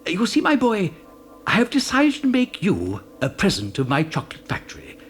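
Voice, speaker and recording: An actor playing an eccentric, excitable old chocolate factory owner in a children's audio drama, with a theatrical, stammering delivery. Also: male voice, sits high, normal speed, faint music behind. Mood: earnest. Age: older.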